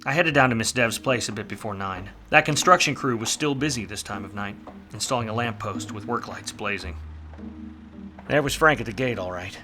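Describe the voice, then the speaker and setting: An actor playing a hardboiled, wisecracking private detective in a 1940s film noir radio play, wry and slangy. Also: male voice, lowish voice, fairly quick, music in the background, lightly acted. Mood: wry, weary.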